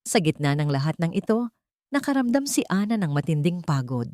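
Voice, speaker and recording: Female Filipino storyteller for romantic drama, lower register, close and dry sound. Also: sing-song, brisk, darkish tone, no room sound.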